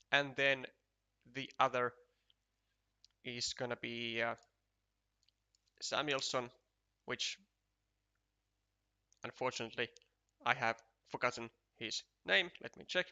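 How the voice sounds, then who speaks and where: Man, Finnish accent, stream commentator, slow and chatty, crisp bright tone. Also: mid-range voice. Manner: unhurried, chatty, full of fillers and soft laughs. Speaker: match commentator. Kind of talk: casual live-stream game commentary.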